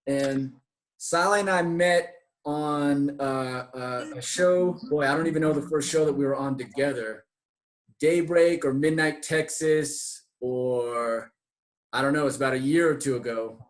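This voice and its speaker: An American man from the San Francisco Bay Area hosting a casual chat interview in an informal, slangy way. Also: on the high side. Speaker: host.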